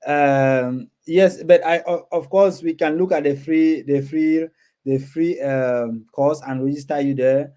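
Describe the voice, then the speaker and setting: A man's voice: fairly high pitch, steady tone, unhurried, mellow. A man hosting a casual livestream, talking to viewers and often repeating his words and phrases. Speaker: live stream host. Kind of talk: casual livestream chat, reading and answering viewer comments.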